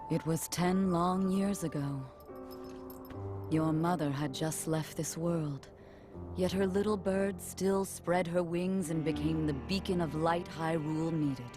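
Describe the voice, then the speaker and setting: A woman's voice: fairly low pitch, fairly even intonation, a little slow, natural voice. A woman playing a proud, fierce warrior chief who is fond and protective, speaking in a commanding, stately way in a game cutscene. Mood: fond, solemn. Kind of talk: dramatic game cutscene acting. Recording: music behind the voice.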